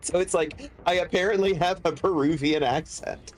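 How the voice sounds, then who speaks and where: A man in his forties chatting casually and telling anecdotes with animated quoted voices. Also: fairly high pitch, moderate pace. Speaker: podcast guest. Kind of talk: casual chat.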